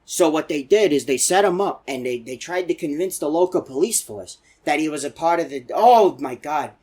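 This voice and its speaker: A man voicing a chatty, excitable elderly animatronic in a video game, telling folksy, rambling stories in a husky old voice. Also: on the high side, moderate pace. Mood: rambling reminiscing. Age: older. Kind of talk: rambling game character monologue.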